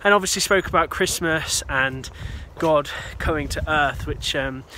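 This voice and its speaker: A man's voice: on the high side, a little slow, bright, forceful tone, a little hiss or hum, poor audio. A young British man chatting casually while walking outdoors, filmed on a phone. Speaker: vlogger, youth speaker. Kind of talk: casual vlog chat. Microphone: phone camera.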